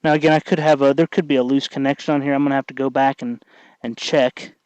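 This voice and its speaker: A man hosting a casual hands-on tutorial, thinking aloud in a halting way as he works. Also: medium pitch, level tone, a little mellow, smooth, dry recording, dull audio. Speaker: tutorial host, hobby electronics maker.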